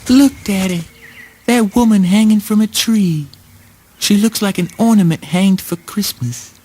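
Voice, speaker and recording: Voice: medium pitch, measured pace, dark tone, breathy edge. A performer playing a wide-eyed child in a spoken word play, marveling at things in simple words. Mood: excited wonder.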